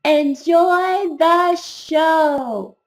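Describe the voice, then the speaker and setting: A woman's voice: very high-pitched, extremely slow, voice pushed up, exaggerated voice. A woman voicing an earnest, polite, nervous schoolboy in a children's storybook, with emphatic delivery. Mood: proud, loud.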